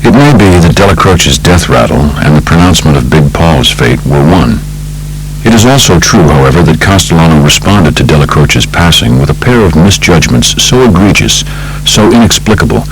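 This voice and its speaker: Very low male voice, American accent, measured scripted narration, polished storytelling, faint hum and noise behind. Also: expressive, rough recording. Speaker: audiobook narrator. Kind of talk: scripted narration of true crime book.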